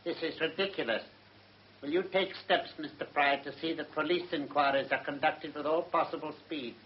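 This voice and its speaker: A man playing a stern, impatient British High Court judge in a radio drama, curt, pompous and authoritative. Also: steady tone, moderate pace, close to an everyday voice. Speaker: actor. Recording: muffled vintage radio drama recording.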